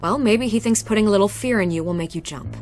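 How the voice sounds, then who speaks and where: An actress playing a confident, earnest newspaper reporter in a video game, measured and insistent. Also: female voice, medium pitch, a little mellow, lightly acted. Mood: persuasive. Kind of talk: cinematic game dialogue acting. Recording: music behind the voice.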